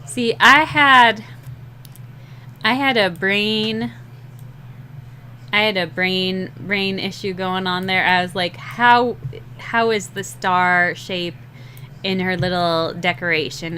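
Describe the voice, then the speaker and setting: A young woman with a slightly rough, scratchy voice, rambling and laughing at herself in a casual chat while drawing, short on sleep. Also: fairly low pitch, fairly even intonation, slow, sharp tone. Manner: rambling, giggly, self-deprecating. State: sleep-deprived. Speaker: digital artist streaming a drawing session.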